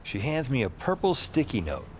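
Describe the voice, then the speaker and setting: An actor playing a wry, beleaguered emergency call operator who narrates his own story in a deadpan way in an audio drama. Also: medium pitch, moderate pace, slight background noise. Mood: wry narration. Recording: music behind the voice. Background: music.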